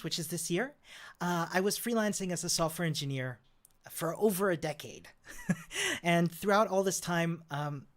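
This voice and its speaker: Upbeat Chilean-born man chatting with laughs. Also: a little high. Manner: upbeat, chatty, laughs often, many fillers. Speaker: developer advocate. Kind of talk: casual talk to camera.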